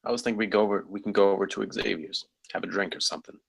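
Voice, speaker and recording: A man reading the part of a gruff, wry, grumbling theme-park designer at a screenplay table read, with a husky voice. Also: lowish voice, fairly even intonation, very fast, no echo. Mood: coaxing.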